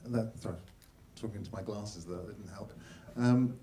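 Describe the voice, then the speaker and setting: Mellow-voiced male panel moderator. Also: lowish voice, normal speed. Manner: informal, with stop-and-start phrasing. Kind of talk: conversational panel hosting. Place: panel at a film market event.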